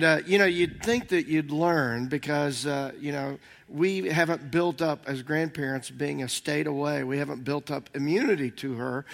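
An older man giving a conversational sermon as senior minister, slightly hoarse, rambling and halting with many fillers. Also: fairly high pitch, fairly bright tone.

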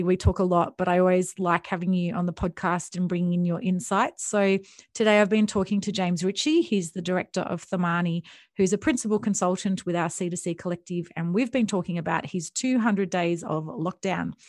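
A woman's voice: mid-range voice, dry recording. Upbeat woman hosting a podcast, dry sound. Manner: upbeat and agreeable, with fillers. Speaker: podcast host. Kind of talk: interview hosting.

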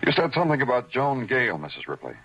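A man's voice: mid-range voice, huge pitch swings, fast talker, a bit bright, husky, no echo, exaggerated voice. A man acting a hardboiled, world-weary police detective in 1940s radio noir style, dry, terse and moody. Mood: terse, businesslike. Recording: muffled vintage radio recording.

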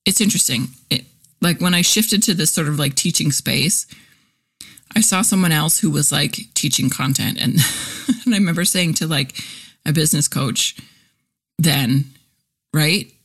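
A woman's voice: deep voice, measured pace, pauses often, a bit bright, breathy edge. A woman in her forties hosting a casual solo podcast, chatty and candid, full of fillers and easy laughs. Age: 40s. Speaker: podcast host, copywriter and content teacher.

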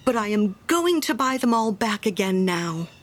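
A woman's voice: mid-range voice, sing-song, moderate pace. A woman voicing a humble, cheerful poor gardener who speaks eagerly and gratefully.

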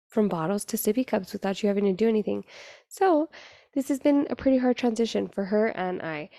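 A young woman with a raspy voice, hosting a casual solo podcast late at night and chatting in a rambling, confiding way. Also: medium pitch, a little mellow, no room sound, clean studio sound. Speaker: podcast host.

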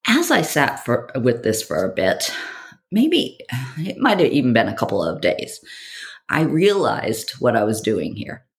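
A woman hosting a podcast, delivering a scripted monologue in a theatrical, provocative way with deliberate phrasing. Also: extremely low pitch, huge pitch swings, vocal fry. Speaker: podcast host.

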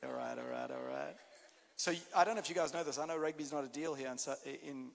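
A man's voice: mid-range voice, sing-song. A man with a South African accent, a guest pastor opening his sermon in a playful, teasing way. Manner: playful, teasing, quick to laugh. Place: large church sanctuary. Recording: long hall reverb.

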